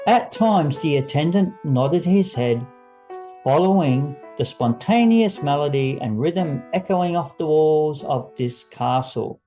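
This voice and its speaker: Male audiobook narrator: unhurried dramatic reading, mellow tone, faint music underneath. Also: a little high, lively intonation. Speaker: story narrator.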